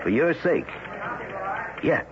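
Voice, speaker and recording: A man's voice: on the high side, lively intonation, takes it slowly. An actor playing a cautious, blunt, weary man warning a stubborn colleague in an old-time radio drama. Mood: exasperated warning. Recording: muffled, noisy vintage radio recording.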